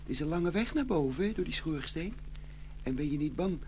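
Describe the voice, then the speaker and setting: A woman voicing a brave, gentle young porcelain chimney sweep in an audio fairy tale, tender and reassuring. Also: low voice, expressive, moderate pace, a little hiss or hum. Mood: gentle, encouraging.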